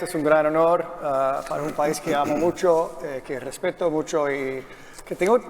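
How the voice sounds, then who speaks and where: A man whose first language is English, moderating a political panel in Spanish with an English accent, hesitant, with many fillers and restarts. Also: a little high. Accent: English speaker's Spanish. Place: conference hall. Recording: long reverb of a conference hall.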